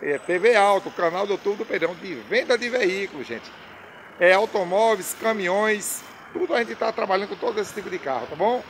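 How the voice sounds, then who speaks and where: A man, pitching used cars in chatty, repetitive salesman patter, filmed on a phone at an outdoor car lot. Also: expressive, a little fast, fairly bright tone, slight background noise. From Brazil. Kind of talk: casual sales pitch on camera. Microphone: phone camera at arm's length.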